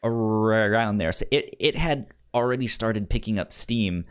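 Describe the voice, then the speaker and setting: A man co-hosting a podcast, chatting casually about television in a halting way, often stammering over the starts of words. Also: fairly low pitch, clean tone. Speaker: podcast co-host. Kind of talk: casual chat discussing a TV series.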